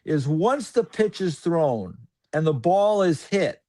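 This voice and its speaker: Guy telling broadcast stories on a podcast, bit high-pitched, rambles, full of "like". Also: takes it slowly. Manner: rambling, filler-heavy, animated storytelling. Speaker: hockey broadcaster guest. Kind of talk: casual podcast chat.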